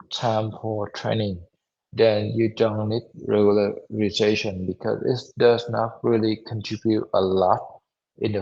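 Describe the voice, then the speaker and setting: A hoarse man lecturing at an unhurried, drawn-out pace, stopping often to repeat himself and hunt for words. His voice sits low and gravelly with a rough, husky edge. The audio is dull and lo-fi. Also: darkish tone. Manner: halting, with many repeats and fillers. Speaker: university lecturer. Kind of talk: online lecture.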